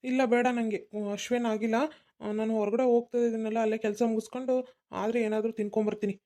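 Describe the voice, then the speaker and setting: A woman's voice: on the high side, flat delivery, warm tone, natural voice. A woman voicing an evasive young man who brushes off his mother, in a cartoon. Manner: hurried, brushing off questions.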